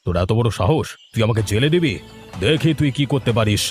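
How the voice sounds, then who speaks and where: A man's voice: mid-range voice, lively intonation, quick pace, a little mellow, soft background music, lightly acted. A man voicing a greedy, bullying village uncle with a confrontational, scolding manner in a melodramatic cartoon. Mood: threatening. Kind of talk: melodramatic Bengali moral-story cartoon acting.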